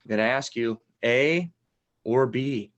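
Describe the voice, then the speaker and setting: A woman's voice: very deep voice, measured pace, muffled recording. Woman as a suspense-stretching game show host. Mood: upbeat, reassuring. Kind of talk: improvised game show parody presenting.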